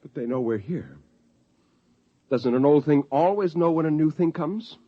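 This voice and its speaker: An actor playing an anguished, bitterly indignant idealist on a space expedition, intense and eloquent, in 1950s radio drama style. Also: male voice, on the high side, expressive, normal speed. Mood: brooding, indignant. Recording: dull, lo-fi 1950s radio recording.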